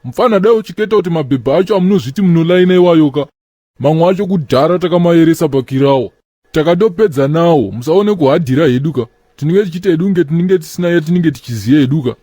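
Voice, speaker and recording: A man acts out a self-important street joker in a comedy cartoon. He rattles along quickly in a mellow, Zimbabwean-accented voice, boasting and mocking in slang. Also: fairly high pitch, lively intonation, no room sound.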